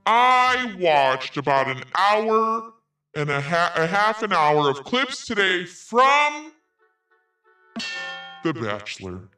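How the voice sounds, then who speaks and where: A man hosting a commentary podcast, reading messages aloud with biting sarcasm. His voice sits a little high and jumps around in pitch as he lingers over the words. He sounds set back from the microphone, with faint music underneath. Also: big pitch swings, takes it slowly, sharp tone, recorded from a distance. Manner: sarcastic, swearing, laughs through reading. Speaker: podcast host.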